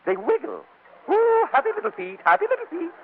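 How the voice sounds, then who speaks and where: Theatrical mock-mad husband, muffled old radio. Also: male voice, very high-pitched, wildly swooping pitch, a little fast, slight background noise, exaggerated voice. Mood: mock-grave. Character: playful husband feigning madness, grandly absurd. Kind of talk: 1940s radio comedy acting.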